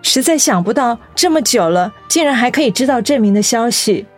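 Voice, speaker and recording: A woman's voice: on the high side, lively intonation, brisk, soft background music. A woman playing a determined, heartfelt middle-aged director in a radio drama, speaking earnestly. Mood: astonished. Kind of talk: Mandarin radio drama acting.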